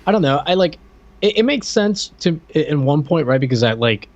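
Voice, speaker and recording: A man's voice: on the high side, big pitch swings, brisk, dry recording, muffled recording. A man co-hosting a podcast, chatting casually about pop culture in a rambling way, repeating words as he thinks aloud. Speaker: podcast co-host.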